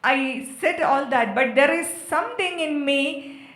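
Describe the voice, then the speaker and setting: Woman, higher-pitched voice, unhurried halting delivery, reflective panel talk, echoey sound. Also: fairly bright tone. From Kerala, India. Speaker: panel speaker. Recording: echoey, amplified festival venue.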